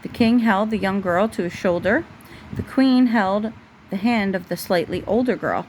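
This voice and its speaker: A woman's voice: medium pitch, normal speed, a little mellow, rough recording. A woman reading a children's storybook aloud at home, as a parent would at bedtime. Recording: faint background noise, home recording.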